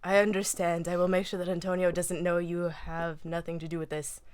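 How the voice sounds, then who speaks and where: A woman's voice: on the low side, flat delivery, brisk, darkish tone, slight background noise. A woman giving a mock-serious dramatic reading of fan fiction, theatrical and stumbling over words now and then.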